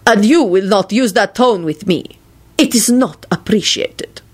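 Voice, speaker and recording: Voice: mid-range voice, sing-song, fairly quick, pitched above the performer's own voice. A storyteller voicing an arrogant male royal prince who speaks in an imperious, formal, easily offended way. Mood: haughty, outraged.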